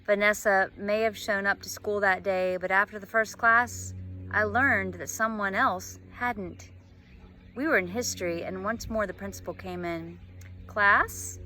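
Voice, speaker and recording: Female storybook reader, bright clear-cut tone, animated delivery, outdoor garden setting. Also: mid-range voice, normal speed, soft background music. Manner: animated, acts out dialogue. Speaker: teacher reading a book aloud. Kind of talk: expressive storybook read-aloud. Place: outdoors in a garden bed in a yard.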